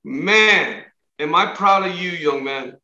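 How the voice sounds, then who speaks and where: A man's voice: a little high, animated, slow pace, sharp tone, reverberant, lo-fi sound. A middle-aged man, a chef, leading a cooking demo and checking in with his student over a video call. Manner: encouraging, coaching with frequent "okay?" and "right?" checks. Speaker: chef instructor.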